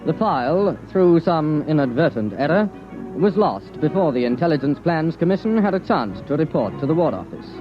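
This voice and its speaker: An actor playing a solemn military narrator, reading formal scripted 1950s radio narration. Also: male voice, on the high side, sing-song, moderate pace. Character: solemn male documentary-style military narrator. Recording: muffled old radio, music behind.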